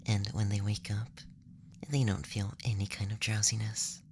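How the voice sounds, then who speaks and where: A man voicing a curious, bumbling alien examiner in a soft, breathy ASMR whisper, close to the microphone. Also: on the low side, flat delivery, normal speed, background noise, close to an everyday voice. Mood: pleased, gentle. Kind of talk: ASMR roleplay.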